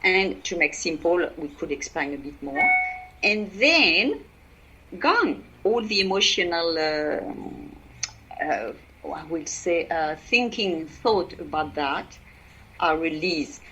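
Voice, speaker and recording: A woman with a French accent, speaking English as a second language, explaining her work as a podcast guest in halting speech full of fillers and restarts. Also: on the low side, animated, pushed and bright, dull audio, a little hiss or hum. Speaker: guest, EFT practitioner. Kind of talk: podcast interview.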